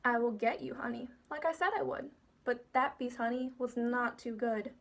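A woman's voice: a little high, fairly even intonation, normal speed. A woman voicing a proud, stubborn father bear who boasts in sing-song rhymes from a storybook. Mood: boastful.

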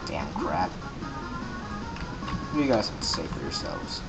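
A man with a slightly low voice gives casual, joking commentary while he plays a video game. He reads the on-screen dialogue aloud in playful put-on voices. Loud game music plays behind him. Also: sing-song, slow pace, poor audio. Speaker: let's play gamer.

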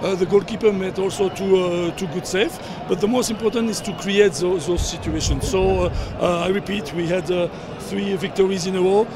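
Older male coach, high voice, halting speech full of fillers, outdoor noise behind; press scrum bark. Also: fairly even intonation. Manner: halting, many fillers and repeats, non-native phrasing. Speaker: football coach. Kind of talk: post-match press interview. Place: outdoors at a sponsor backdrop, surrounded by reporters. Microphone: cluster of handheld reporter mics and phones.

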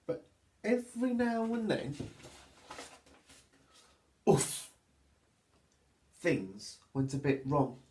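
A man in his thirties reads to young children like a teacher at story time. His delivery is unhurried and very expressive, with lively swings in pitch, recorded in a small echoey room. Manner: expressive, deliberate, addressing young listeners. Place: small plain room at home, indoors.

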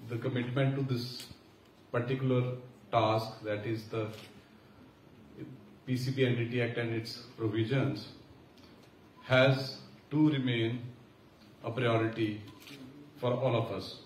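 A man with an Indian English accent giving a formal, measured speech at a conference, pausing with fillers. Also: mid-range voice, steady tone. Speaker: senior official giving keynote. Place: large conference hall. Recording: echoey hall from far off, faint hiss.

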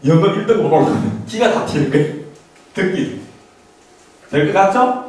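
A middle-aged man lectures informally in a very echoey classroom, picked up from a distance. His voice sits a little high and swings widely in pitch as he makes emphatic points and throws questions at his listeners. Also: moderate pace. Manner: animated, emphatic, asks rhetorical questions. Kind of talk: informal lecture to parents on study methods. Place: small classroom. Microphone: handheld mic, recorded by a camera at a distance. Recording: echoey room, distant mic, background noise.

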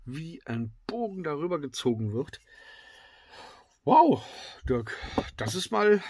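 A middle-aged man with a slightly congested voice, reviewing a knife in a casual, filler-heavy way that often drops to excited whispers. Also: a little high, takes it slowly, slightly rough, no room sound, clean studio sound. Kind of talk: casual tabletop product review. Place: indoors at a wooden table.

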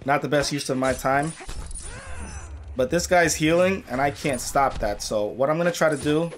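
A young man commentating on a mobile fighting game, excitable and losing his voice, seated at a home gaming setup. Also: on the high side, normal speed, slight background noise. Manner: excitable, swings from muttering to yelling at the game. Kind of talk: casual gameplay commentary.

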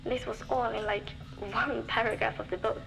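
An actress playing a young woman survivor, reflective and determined, recording a measured audio diary for a video game. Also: fairly high pitch, little pitch movement, creaky, a bit bright, slight background noise, poor audio. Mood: wistful, reflective. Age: young adult.

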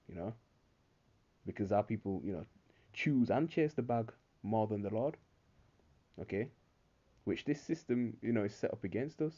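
A man's voice: fairly low pitch, mellow, muffled recording. A man giving preachy religious commentary over a screen recording, checking in with "all right?" over and over. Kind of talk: screen-recorded commentary reading articles and scripture.

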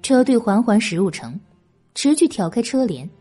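Expressive woman narrator with a soft-edged voice. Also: fairly high pitch, moderate pace, husky, faint music behind. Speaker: audiobook narrator. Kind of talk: dramatic scripted narration of a historical novel.

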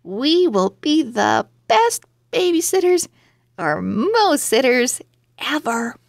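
Woman voicing an excited, high-pitched young girl owl. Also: takes it slowly, creaky, no room sound, studio quality, heavily acted. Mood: eager, excited.

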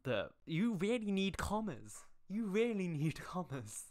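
A man's voice: high voice, big pitch swings, a little slow, darkish tone. A man streaming a mocking read-aloud of fan fiction, with sarcastic asides, stumbling over words, close on a desk microphone.

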